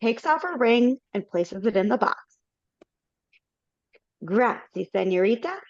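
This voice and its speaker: A woman reads stage directions briskly, her voice moving up and down. The audio is dry and a little muffled, from a home mic on a video call. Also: fairly high pitch, lively intonation, dry recording. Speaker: narrator.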